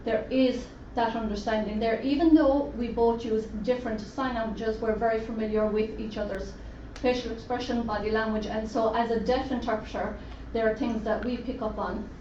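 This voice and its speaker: A woman voicing a deaf presenter's talk in the first person, in a measured, steady way, at a roundtable event. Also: a little high. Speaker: sign language interpreter voicing a deaf presenter. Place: conference room at a roundtable event. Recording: roomy, distant, dull lo-fi sound with faint noise.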